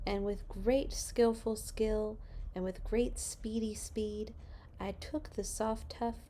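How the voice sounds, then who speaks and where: A woman hosting children's story time, reading a storybook aloud with deliberate dramatic emphasis. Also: mid-range voice, fairly even intonation, dark tone, a little hiss or hum, low-quality recording. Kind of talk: expressive storybook read-aloud.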